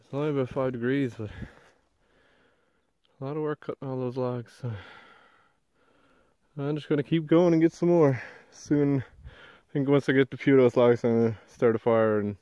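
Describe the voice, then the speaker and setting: A man's voice: mid-range voice, normal speed, no room sound, dull audio. A young man, chatting casually to the camera outdoors in a forest while building a shelter and explaining each step. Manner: relaxed, chatty, explains as he works. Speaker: bushcraft vlogger. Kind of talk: casual narration while working. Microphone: handheld or mounted action camera.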